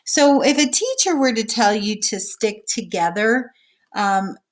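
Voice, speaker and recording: Female teacher, medium pitch, read-aloud for kids mixed with casual, simple explanations and small check-ins with the listeners. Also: animated, a little slow. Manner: explains simply, checking in with okay and all right. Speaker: teacher reading a picture book aloud.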